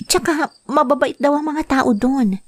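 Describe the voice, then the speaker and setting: An actress playing a young wife and mother who wants to work abroad to help her family, speaking gently and earnestly in a radio drama. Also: high-pitched, unhurried, no echo.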